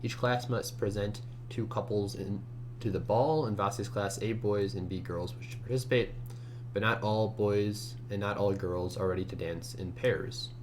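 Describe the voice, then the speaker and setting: Male programmer, deep sleepy-morning register, casual muttered think-aloud, audible hum and key clatter. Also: moderate pace. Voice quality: low morning voice. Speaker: competitive programmer narrating a screencast. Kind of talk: casual think-aloud commentary while coding. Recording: steady hum and keyboard typing noise. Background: computer keyboard typing, hum.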